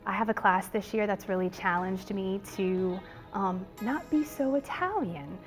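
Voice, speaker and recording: Earnest woman teacher, naturally loud voice, soft music underneath. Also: mid-range voice, unhurried. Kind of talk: interview testimony.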